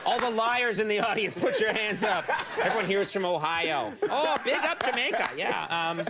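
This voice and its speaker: A man's voice: sits high, animated, normal speed, very noisy. A man hosting a late-night talk show, bantering with a guest in a joking, self-mocking way, with rambling restarts. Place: TV studio with live audience.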